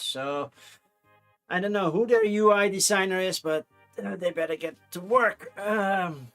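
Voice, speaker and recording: A Dutch-accented man hosting his own channel with a somewhat high voice. He chats casually and rambles, with fillers and quick self-corrections. Faint music plays underneath. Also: fairly bright tone. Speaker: channel host. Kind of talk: casual solo chat to camera.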